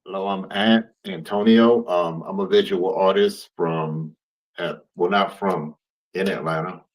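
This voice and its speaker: A very deep-voiced man on a video call, joining a panel as a guest. He talks hesitantly, with many fillers and repeated words. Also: steady tone, a little slow, poor audio. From Bahamas. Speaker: panelist, visual artist. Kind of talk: casual panel discussion.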